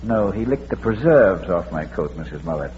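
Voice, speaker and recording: Bearded amateur sleuth for vintage radio mystery: urbane, composed, dry wit, dull hissy recording. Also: male voice, deep voice, animated. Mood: deducing, composed. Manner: measured, urbane, dryly witty. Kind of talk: 1940s radio mystery acting.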